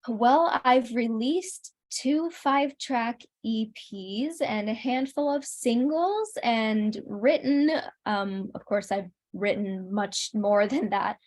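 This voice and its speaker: A woman's voice: on the high side, slow pace, hardly any pauses, a little mellow. A woman answering as a guest in a casual interview, speaking in a thoughtful, earnest way. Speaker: interview guest, singer-songwriter.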